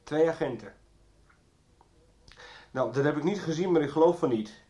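An actor playing an uneasy, cautious accomplice in a 1990s radio soap. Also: male voice, fairly high pitch, animated, normal speed, warm tone, muffled recording, lightly acted. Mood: worried, urgent.

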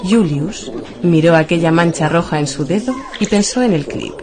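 A woman narrator with a slightly low voice and an accent from Spain. She reads a scripted radio story over a clear music bed. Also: moderate pace.

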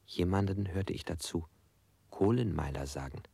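An actor playing a bound, beaten male prisoner in a radio drama, talking in a halting, bitter, musing way. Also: low voice, vocal fry, dark tone, breathy edge, dry recording, heavily acted. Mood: brooding, bitter.

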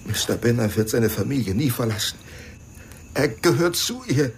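A man's voice: mid-range voice, lively intonation, normal speed, slight background noise, lightly acted. An actor playing a tender-hearted, loyal man in a radio crime drama, speaking earnestly, hesitantly and with deference. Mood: reproachful.

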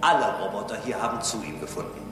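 A man's voice: lowish voice, lively intonation, quick pace, heavy background noise, natural voice. Man as melodic, preaching robot prophet. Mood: pious, serene. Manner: formal, preaching, solemn. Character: polite, pious robot prophet, serenely superior. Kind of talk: German radio drama acting. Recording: echoey hall with long reverb.

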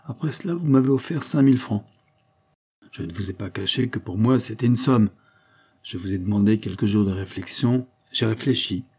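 A man voicing a wary male neighbour, a musician, in an audiobook, plain-spoken, measured and firm. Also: fairly low pitch, warm tone, slightly rough. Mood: guarded, firm. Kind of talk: audiobook character dialogue.

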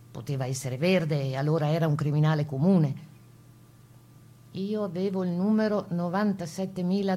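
A woman playing a camp survivor who gives her grave testimony in a radio play, plainspoken and halting, in a conversational way. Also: fairly low pitch, dark tone, natural voice. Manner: halting, conversational, with small fillers and tag questions. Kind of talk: dramatized first-person testimony in a radio play.

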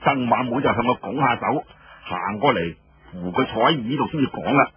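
A man with a Cantonese accent and a husky voice, narrating a story in colloquial, dramatic storyteller style. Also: medium pitch, animated, fairly quick, muffled recording, crowd noise.

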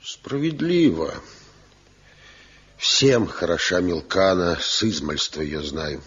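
An actor playing a grave, respected old master craftsman who gives firm counsel in a radio drama, with a gruff, husky voice. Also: sits low, animated, slow, fairly bright tone, full character voice. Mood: firm, sympathetic. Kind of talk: Soviet radio drama acting. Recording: dull 1970 radio play recording.

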